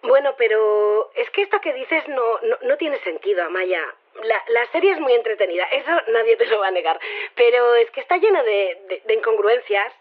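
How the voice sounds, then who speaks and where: A woman's voice: on the high side, expressive, moderate pace. An actress playing an earnest, flustered woman in a radio interview drama, arguing and explaining with hesitant stammers. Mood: exasperated. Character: Sofía, earnest flustered believer in a mountain-god community theory. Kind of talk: naturalistic audio drama interview. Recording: tinny, phone-like radio sound.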